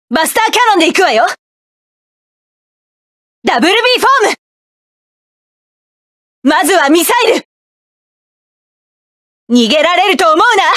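A fierce young mecha pilot snapping out battle commands in a very high, bright voice that swoops widely. She speaks slowly and deliberately, and the recording is dry and close. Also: no echo, full character voice. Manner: commanding, barking attack orders. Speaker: game character. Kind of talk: video game battle voice lines.

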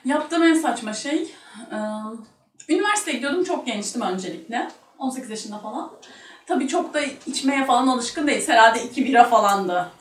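High-voiced young woman chatting in a roomy space. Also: lively intonation, a little fast, slight background noise. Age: young adult. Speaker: talk show guest. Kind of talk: casual chat and anecdotes. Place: small studio room at a table. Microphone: shared table microphone. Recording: roomy, distant microphone.

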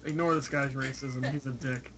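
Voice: mid-range voice, fairly even intonation, normal speed, a bit bright. Someone chatting casually in a teasing way, heard distantly through a noisy recording with clicks.

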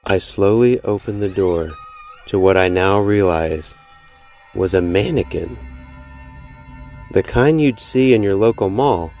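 Male horror podcast narrator, deep voice, suspenseful first-person delivery over music. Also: darkish tone. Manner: dramatic, suspenseful storytelling. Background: music behind the voice.

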